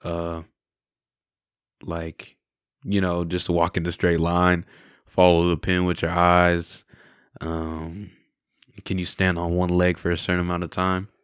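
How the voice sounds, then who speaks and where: Deep-voiced young man telling his story. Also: completely flat delivery, slow, long pauses, clean tone, no room sound, studio quality. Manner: candid, reflective, often adds "right?" and "you know". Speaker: guest sharing his testimony. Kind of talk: casual conversational storytelling.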